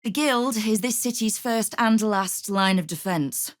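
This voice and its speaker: A woman's voice: medium pitch, a little slow, no room sound, close to an everyday voice. An actress playing a tough, sardonic woman who runs a city thieves' guild in a fantasy video game, with a dry, commanding manner. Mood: proud, measured. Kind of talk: video game character acting.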